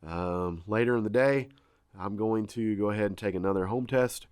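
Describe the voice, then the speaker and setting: A man's voice: fairly low pitch, normal speed, darkish tone, clear, resonant tone, no echo. A man, congested, chatting casually to viewers in a homestead vlog. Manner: folksy, chatty, addresses viewers as y'all. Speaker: farm vlogger. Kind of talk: casual vlog talk to camera.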